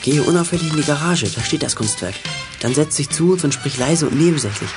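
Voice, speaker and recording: A man's voice: on the high side, lively intonation, fast, music in the background. A young male actor playing a clever, bossy schoolboy leader in a detective radio play, commanding and self-assured. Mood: planning, instructing. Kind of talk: youth radio play acting.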